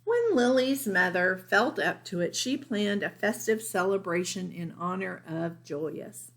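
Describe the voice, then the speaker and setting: Slow-paced woman reading a storybook. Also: mid-range voice. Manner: expressive, explains to young listeners. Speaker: teacher reading aloud. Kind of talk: children's storybook narration.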